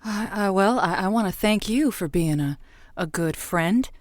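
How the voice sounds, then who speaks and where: A woman's voice: medium pitch, lively intonation, measured pace, no room sound, clean studio sound, natural voice. A woman playing an earnest, sympathetic radio call-in host in a comedy, speaking with some hesitation. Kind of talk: improvised comedy radio show acting.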